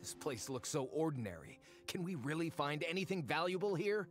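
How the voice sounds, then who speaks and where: An actor playing a slick, smug and impatient researcher in an anime game, with glib, affected politeness. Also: male voice, a little high, lively intonation, brisk, soft background music, close to an everyday voice. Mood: impatient, dismissive.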